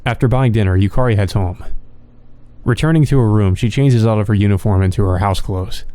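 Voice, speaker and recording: A man reading visual novel narration aloud for a let's play, in a plain, measured storytelling manner. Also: on the low side, mellow.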